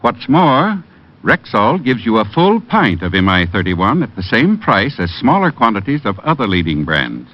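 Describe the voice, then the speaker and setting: A man's voice: on the low side, sing-song, moderate pace, a little hiss or hum. A man with an American accent reading a scripted 1940s radio advertisement as a druggist. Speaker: radio commercial announcer playing a druggist. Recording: muffled old radio recording.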